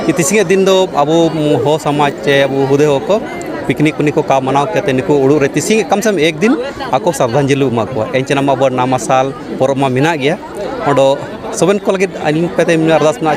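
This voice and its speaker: A man with a Ho accent from Jharkhand making a spontaneous public appeal in an interview. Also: fairly high pitch. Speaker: film artist interviewee. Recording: noisy outdoor crowd.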